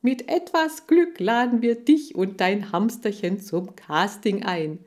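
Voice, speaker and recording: Woman as male TV salesman, showy, drawn-out words, loud, boastful, children's audiobook. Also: medium pitch, pitched above the performer's own voice, close to an everyday voice. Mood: pompous, promotional. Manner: boastful, drawn-out showman delivery. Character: pompous, mustached advertising salesman on television.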